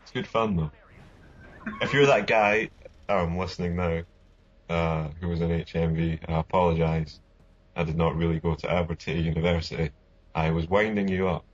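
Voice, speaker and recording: A man's voice: low-pitched, steady tone, moderate pace, lo-fi sound. A young man with a voice that sounds older than his age, joking and bantering in casual gaming commentary chat. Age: young adult. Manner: joking, banters and winds others up. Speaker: co-host.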